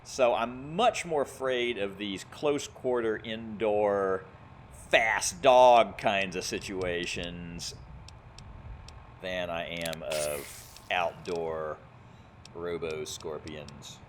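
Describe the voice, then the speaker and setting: A man's voice: sits low, extremely slow, slight background noise. A man giving casual video game commentary, thinking aloud and explaining as he plays. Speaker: gamer playing and commentating.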